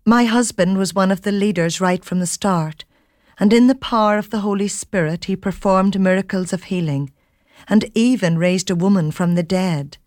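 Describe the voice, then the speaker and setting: An actress narrating as Peter's wife, telling the story in a calm, measured first person for a radio drama. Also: female voice, medium pitch, no room sound, studio quality, natural voice. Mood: reflective. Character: Peter's wife, a woman recounting events.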